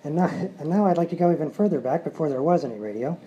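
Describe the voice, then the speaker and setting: A man's voice: fairly high pitch, expressive, racing, muffled recording, heavy background noise. A man introducing a sketch to an audience as presenter of a live comedy show.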